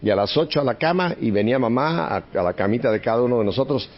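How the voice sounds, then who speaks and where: A man's voice: mid-range voice, animated, quick pace. Chatty older Mexican man reminiscing. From Mexico City, Mexico. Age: 70s. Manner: chatty, warm reminiscing with frequent laughs. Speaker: podcast guest. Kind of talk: relaxed storytelling in conversation. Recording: slightly muffled podcast audio.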